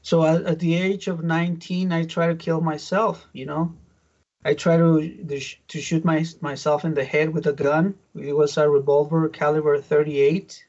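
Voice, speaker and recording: A middle-aged man from Mexico with a Mexican Spanish accent, a native Spanish speaker giving his testimony as a pastor in an interview, halting with many restarts. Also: on the high side, level tone, a little mellow, highs cut off. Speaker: pastor, guest.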